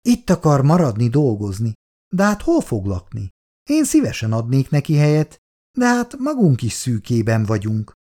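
A man's voice: mid-range voice, wildly swooping pitch, dark tone. A man voicing a worried, reproachful elderly wife who keeps nagging her sleepy husband.

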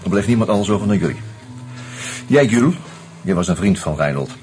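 An actor playing a sociable, teasing screenwriter in a Dutch radio drama, speaking in a conversational, hesitant, confiding way. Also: male voice, fairly low pitch, animated, brisk, a little mellow, faint music behind, close to an everyday voice. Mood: earnest, confiding. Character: sociable, teasing screenwriter sharing his suspicions over drinks.

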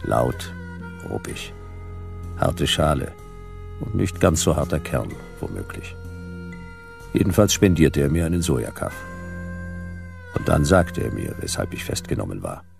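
An actor playing a world-weary, wisecracking private detective, narrating a radio drama in a dry, laconic, ironic way. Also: male voice, extremely low pitch, sing-song, measured pace, pauses often, over music, exaggerated voice. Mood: dry, wry. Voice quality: gravelly.

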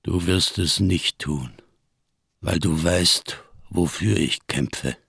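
Huge old solemn fugitive in a radio play, super low n slow, careful fussy wording, husky. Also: male voice, fairly bright tone, no room sound, clean studio sound, full character voice. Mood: grave, defiant. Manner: ponderous, over-careful, elaborate diction. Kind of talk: German radio crime drama acting.

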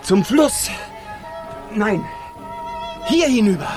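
A man's voice: high voice, expressive, extremely slow, music in the background, full character voice. A man playing a moody wind spirit in a German children's radio play, with a theatrical, sighing delivery. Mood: giddy, boastful. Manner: theatrical, sighing, self-pitying or giddy. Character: Udo Wind, moody, whiny, ticklish male wind spirit.

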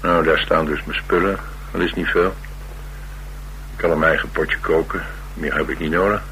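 A restless, wisecracking Dutch loner in a radio drama. His voice is very low and has a bright, forceful edge. He banters in a sardonic, streetwise way, over faint hum and hiss. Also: fairly even intonation, normal speed, low-quality recording. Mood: wry, boastful. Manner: flippant, sardonic, colloquial banter. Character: cocky, restless, wisecracking male loner on the run. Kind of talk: naturalistic radio play dialogue.

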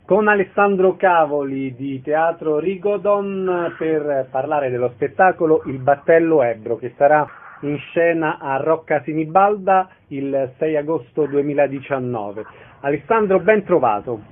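Higher-voiced man hosting through phone-like sound. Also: expressive, unhurried. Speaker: radio host. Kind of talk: radio interview presenting. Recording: narrow, phone-like radio sound.

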